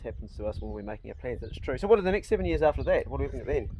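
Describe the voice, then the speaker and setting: A New man in his fifties hosting a casual chat, reflective and unhurried, often repeating words as he starts a thought. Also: medium pitch, sing-song, lo-fi sound, slight background noise. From New Zealand. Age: 50s. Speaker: channel host. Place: outdoors at a farm.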